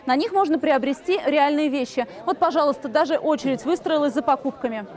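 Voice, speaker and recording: Woman reporter on camera, high voice, faint crowd. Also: brisk, a little mellow.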